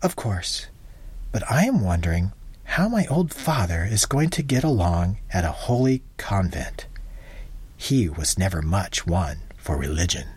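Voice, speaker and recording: A woman's voice: rumbling low, sing-song, measured pace, voice pushed down, heavily acted. A woman doing a mild, bewildered young husband. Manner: gentle and coaxing.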